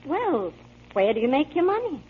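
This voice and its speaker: High-voiced, anxious older American mother. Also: sing-song, moderate pace, slight background noise. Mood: exasperated scolding. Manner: exasperated, plain-spoken. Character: anxious, scolding, long-suffering older mother. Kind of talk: 1940s American radio drama acting. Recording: dull old radio broadcast.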